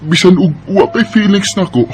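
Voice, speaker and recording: A man's voice: high-pitched, normal speed, darkish tone, close to an everyday voice. A man with a Cebuano Filipino accent playing an emotional young man in a radio drama. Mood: hurt, accusing. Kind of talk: Bisaya radio drama acting. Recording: faint noise and ambience behind.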